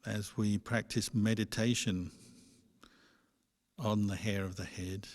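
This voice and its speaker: A man guides a meditation as a monk would, in a low, very even voice. He speaks slowly and gently, leaving pauses between short phrases. The sound carries a long hall reverb. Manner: measured, gentle instructing with pauses.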